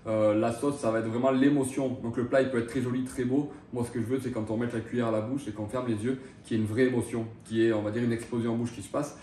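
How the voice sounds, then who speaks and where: Man, 30s, chef, hesitant with fillers, quick pace, distant mic. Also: mid-range voice, steady tone, dark tone, a little hiss or hum. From France. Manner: hesitant, many fillers and restarts. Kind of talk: interview answers. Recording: roomy echo, mic at a distance.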